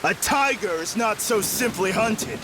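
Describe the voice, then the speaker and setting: A man's voice: sits high, animated, a little slow, noisy background. A young man playing a hot-headed, loyal warrior son in a video game cutscene, intense and commanding. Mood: stern urgency.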